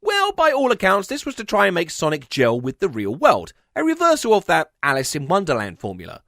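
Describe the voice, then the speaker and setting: A man's voice: sits high, wildly swooping pitch, fairly quick, a bit bright, dry recording, studio quality. A man narrating a scripted comedic video essay as its host, with a sardonic, emphatic delivery.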